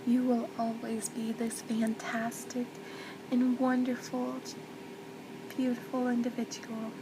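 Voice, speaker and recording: A woman playing a gentle, comforting mother in a soft-spoken ASMR roleplay, soothing and tender, often slipping toward a whisper. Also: completely flat delivery, slow pace. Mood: earnest, encouraging. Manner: soothing, tender, reassuring, hushed with whispery stretches. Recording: loud background noise with low rumble.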